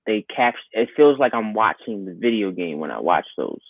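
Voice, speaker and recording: A man with a fairly low voice chats as a podcast co-host. He is informal and blunt with his opinions. The audio is narrow like a phone line, with little room sound. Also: lively intonation, fairly quick, creaky, no echo. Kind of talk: casual pop culture podcast chat. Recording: thin phone-like band-limited sound.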